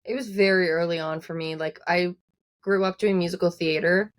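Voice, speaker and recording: A woman from Newfoundland with a softened Newfoundland accent, a singer-songwriter chatting casually as an interview guest, full of "like" and "I mean". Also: fairly low pitch, steady tone, normal speed, dry recording, studio quality. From Newfoundland, Canada. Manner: chatty, uses many likes and I means.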